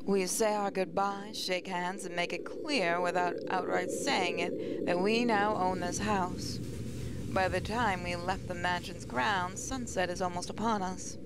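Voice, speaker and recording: A woman's voice: lowish voice, fairly even intonation, measured pace, heavy background noise. A woman reading a visual novel aloud as a first-person narrator, with dramatic storybook delivery. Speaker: gaming channel host. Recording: music playing behind voice. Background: game music.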